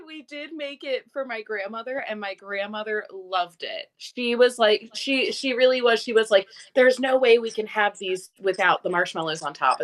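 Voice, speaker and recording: A young adult woman with a medium-pitched voice chats as a show's co-host. She is talkative and enthusiastic, speaking in an informal, conversational way. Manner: chatty, enthusiastic. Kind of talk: casual chat.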